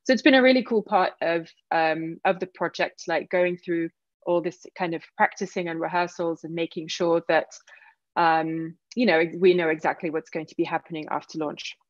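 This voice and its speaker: A woman in her thirties giving a relaxed seminar talk over a video call, with many fillers. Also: lowish voice, normal speed, dry recording, muffled recording. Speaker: guest speaker.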